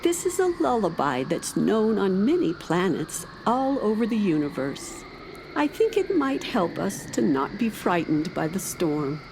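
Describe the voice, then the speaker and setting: A woman playing a gentle, motherly star dragon in a children's radio play, soothing her frightened friends. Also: medium pitch, sing-song, background noise. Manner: slow, soothing. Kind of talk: children's radio play acting with lullaby singing.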